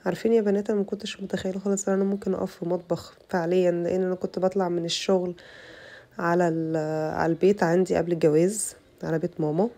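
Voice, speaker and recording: A young Egyptian woman with an Egyptian Arabic accent, her voice rough from a bad cold, chatting to her viewers through a casual cooking tutorial. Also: on the low side, level tone, very fast, warm tone. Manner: chatty, addresses viewers as girls. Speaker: cooking channel host.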